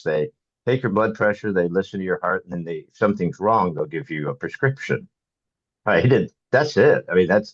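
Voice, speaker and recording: A man's voice: deep voice, no echo. A man hosting a podcast interview, talking casually in a slightly dull recording. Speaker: podcast host.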